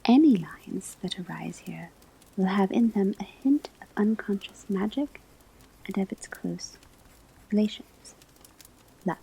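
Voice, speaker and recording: Slow, husky whispering woman giving a lesson. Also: medium pitch, animated, dark tone, poor audio. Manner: slow, deliberate, measured teacherly phrasing with pauses. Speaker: ASMR performer playing a divination class teacher. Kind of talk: whispered ASMR classroom roleplay, scripted lecture.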